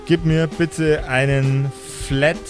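A man's voice: a little high, little pitch movement, slow, soft background music. A man narrating an improvised tabletop game as game master, with a theatrical storytelling manner.

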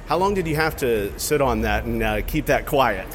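A man's voice: medium pitch, lively intonation, moderate pace, a little hiss or hum. A man hosting a sports interview in a conversational, enthusiastic and encouraging manner. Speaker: sports show host.

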